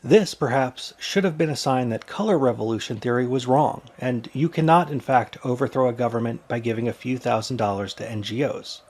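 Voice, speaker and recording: A man presents a scripted explainer as narrator. His voice sits at an average pitch for a man. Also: brisk, hardly any pauses, a little mellow.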